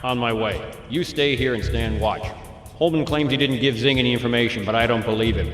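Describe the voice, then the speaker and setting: A man's voice: mid-range voice, brisk, natural voice. An actor playing a cold male agency field commander who gives clipped orders. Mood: commanding. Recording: echoey game cutscene audio with music.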